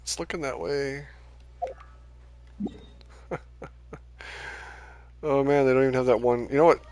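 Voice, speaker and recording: A man's voice: medium pitch, steady tone, measured pace, a little mellow, clear, resonant tone, dry recording. A man giving casual, rambling gameplay commentary on a livestream, his voice starting to tire. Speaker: game livestreamer.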